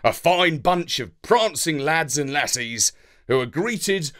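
A man with a British accent narrating a children's story in a theatrical, deliberate, expressive way. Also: a little high.

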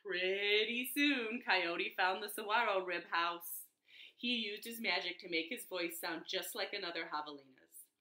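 An American woman in her thirties reading a picture book aloud to young children, expressive and clearly phrased. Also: mid-range voice, measured pace, low-quality recording. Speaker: children's librarian, storytime reader. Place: living room at home.

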